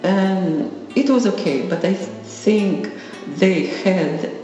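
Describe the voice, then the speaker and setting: A woman from Saint Petersburg, speaking slow as an interviewee. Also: mid-range voice, warm tone, soft background music. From Saint Petersburg, Russia. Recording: distant, very echoey hall, muffled.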